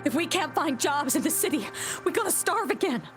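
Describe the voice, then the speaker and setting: An actress voicing a desperate, hungry refugee turned thief in a game, slangy and pleading. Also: female voice, sits high, lively intonation, fairly quick. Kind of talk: video game voice acting. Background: music.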